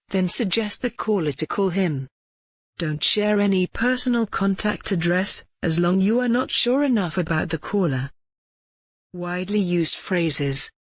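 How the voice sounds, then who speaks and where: A tutorial narrator reading scripted instructions in a measured, formal way. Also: medium pitch, no room sound, studio quality.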